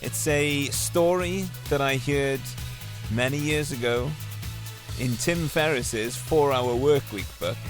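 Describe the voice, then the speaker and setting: A man's voice: mid-range voice, slow pace, over music. A man hosting his own podcast, telling a story and encouraging listeners in an earnest, motivational monologue. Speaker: podcast host.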